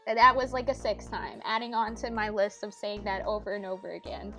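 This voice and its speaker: A woman's voice: fairly high pitch, a little slow, pushed and bright. A woman livestreaming a visual novel game, chatting casually and reading lines aloud with giggly, rambling asides. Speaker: streamer playing a visual novel. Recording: music playing behind. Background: video game music.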